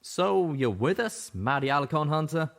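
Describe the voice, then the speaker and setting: A man voicing a brash young male pegasus gunslinger who talks loudly and teases his friends. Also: on the high side, lively intonation, normal speed, gravelly, pitched above the performer's own voice. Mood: cocky. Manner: loud, cocky, teasing.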